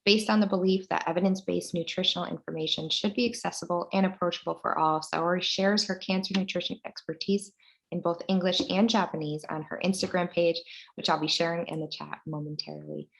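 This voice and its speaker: A woman's voice: on the low side, fairly even intonation, brisk, gravelly, a little hiss or hum, poor audio. A woman hosting an online session, reading an upbeat, welcoming introduction of a guest over a video call. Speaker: webinar host.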